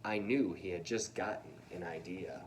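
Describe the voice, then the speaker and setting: A man's voice: low voice, moderate pace, slight background noise. A man reading a children's storybook aloud expressively, with casual asides to his young listeners.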